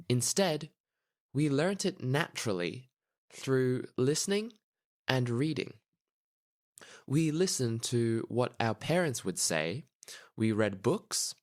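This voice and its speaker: A man hosting a podcast for English learners, speaking in careful, clearly scripted narration. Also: mid-range voice. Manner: careful, deliberate, explanatory. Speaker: podcast host, language teacher.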